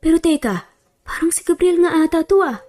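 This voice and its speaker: A woman's voice: extremely high pitch, animated, brisk, warm tone, voice pushed up. A woman voicing an anxious, self-mocking young working woman grumbling colloquially to herself in a romance story. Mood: startled worry.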